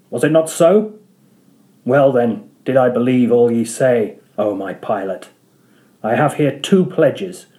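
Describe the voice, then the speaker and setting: A man's voice: mid-range voice, mellow, natural voice. A man reading a storybook part as a proud, scornful old whaling captain with archaic, declamatory speech.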